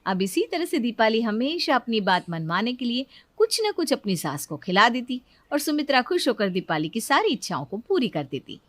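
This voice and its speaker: A woman storyteller giving a scripted, expressive narration of a moral tale. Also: a little high, normal speed, hardly any pauses, no echo.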